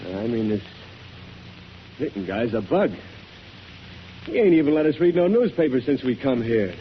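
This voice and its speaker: Slangy, animated henchman sidekick played by a man. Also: medium pitch, moderate pace, lightly acted. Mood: wary. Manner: slangy, ungrammatical working-class talk. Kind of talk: 1930s radio drama acting. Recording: dull old radio recording, faint noise.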